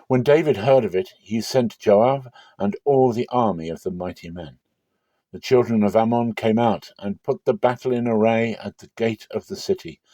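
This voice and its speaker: A man giving a dramatic, expressive reading of scripture in a measured, emphatic way. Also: on the low side, no room sound. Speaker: Bible reader.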